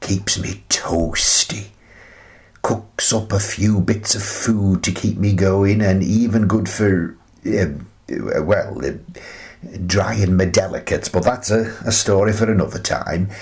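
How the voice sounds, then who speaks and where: A wry, contented older male recluse, played by an actor in a radio drama monologue. His voice sits low and creaks with fry. He takes his time, chatting and musing with gentle amusement at a very unhurried pace. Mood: chatty, wry.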